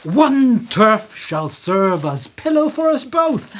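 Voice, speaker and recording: A woman playing an earnest, coaxing young man in love, in a husky voice, for a Shakespearean radio play. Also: on the high side, very animated, slow pace. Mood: coaxing, amorous. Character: ardent young male lover, earnest and coaxing.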